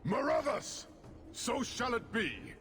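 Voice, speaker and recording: An actor playing a stern, proud horned warrior leader in a video game, speaking formally and slowly with a commanding, gravelly manner. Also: male voice, very high-pitched, big pitch swings, heavily acted. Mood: roaring. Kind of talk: video game cutscene acting.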